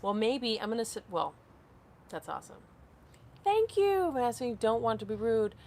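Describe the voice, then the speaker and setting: A woman's voice: fairly high pitch, big pitch swings, normal speed, darkish tone, a little hiss or hum. A woman in her late thirties hosting a casual live stream, answering viewer comments aloud in a rambling way. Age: 30s. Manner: rambling, reads comments aloud and loses her train of thought.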